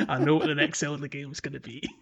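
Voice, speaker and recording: A man's voice: fairly high pitch, flat delivery, fairly quick, creaky, fairly bright tone, dull audio. A man talking casually as a podcast host. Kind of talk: casual chat.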